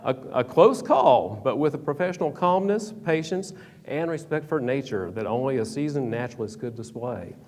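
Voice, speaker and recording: A man's voice: mid-range voice, animated, moderate pace, a little mellow. Man reading a talk in an echoing hall. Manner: reads from a script with small stumbles and restarts. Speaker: presenter. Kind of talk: reading a prepared history talk. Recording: reverberant hall, faint background hum.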